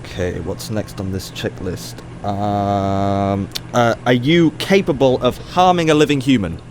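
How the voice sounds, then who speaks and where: A man's voice: fairly low pitch, a little slow, noisy background, natural voice. A man playing a wry, weary ethics professor in an audio drama, dry, sarcastic and mildly exasperated in a natural style. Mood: probing, weary.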